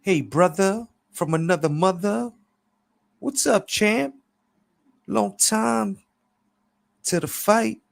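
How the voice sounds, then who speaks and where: Man putting on a high-pitched, slick street hustler. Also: takes it slowly, pauses often, exaggerated voice. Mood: hearty greeting. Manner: slangy, cocky, smooth-talking. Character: Clever, slick smiling male street hustler.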